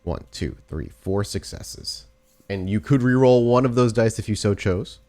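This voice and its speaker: A man's voice: lowish voice, moderate pace, darkish tone. A man running a tabletop roleplaying game, narrating scenes descriptively and often rephrasing as he goes.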